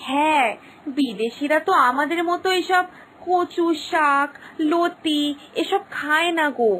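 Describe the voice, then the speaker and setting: A woman's voice: high voice, slow, a bit bright. A woman voicing a chatty, gossipy village wife handing out advice in a cartoon story. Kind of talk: cartoon voice acting.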